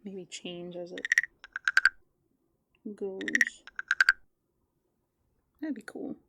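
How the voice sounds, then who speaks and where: A woman chatting softly and casually in a whimsical, musing ASMR roleplay as a playful raccoon character, close to the microphone. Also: on the low side, very slow, heavy background noise.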